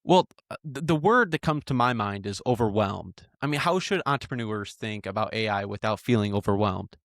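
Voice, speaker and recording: A man's voice: lowish voice, animated, brisk, a bit bright, no echo, clean studio sound. A man in his twenties hosting a podcast, talking casually and hesitantly, often restarting his sentences. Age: 20s. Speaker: podcast host.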